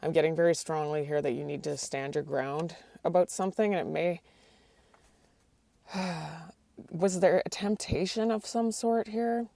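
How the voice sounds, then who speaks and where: A woman's voice: low voice, steady tone, normal speed, creaky, mellow, dry recording. A woman doing a tarot card reading, talking hesitantly and often restarting her sentences, and she is losing her voice. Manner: hesitant, restarts often, asks the cards aloud. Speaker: tarot reader.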